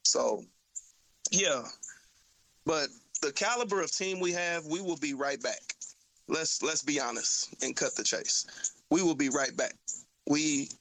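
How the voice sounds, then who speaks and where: A man from Oklahoma co-hosting a casual sports talk show, easygoing and halting, often repeating his words. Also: on the high side, a bit bright, poor audio. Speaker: podcast co-host.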